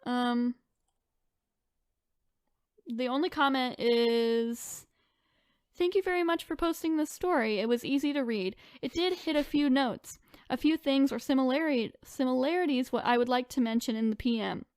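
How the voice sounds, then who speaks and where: A woman's voice: high-pitched, normal speed, darkish tone, clean tone, no room sound, clean studio sound. A woman co-hosting a podcast, reading online stories aloud and chatting in an informal, conversational way. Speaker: podcast co-host.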